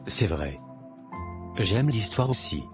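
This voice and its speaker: Earnest romantic lead for animation, male actor, early twenties, polite, notably deep voice. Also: sing-song, slow. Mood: friendly, flirting. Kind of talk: animated drama dialogue. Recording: music behind the voice.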